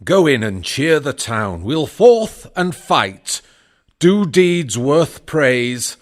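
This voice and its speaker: A man's voice: fairly high pitch, sing-song, extremely slow, full character voice. A man playing a noble, resolute Trojan warrior prince in a Shakespearean audio drama, stately and commanding.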